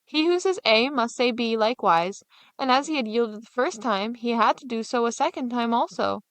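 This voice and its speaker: A woman's voice: fairly high pitch, animated, moderate pace, no room sound. Woman narrating fairy tales, close dry sound. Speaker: storyteller. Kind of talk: scripted fairy-tale narration.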